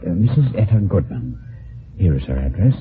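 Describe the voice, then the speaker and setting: A man's voice: low-pitched, sing-song, slightly rough, heavily acted. A man playing a self-satisfied loan company businessman who explains things formally and wordily in an old-time radio detective drama. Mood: formal, grave. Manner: measured, wordy, formal explanations. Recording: tinny band-limited vintage radio broadcast.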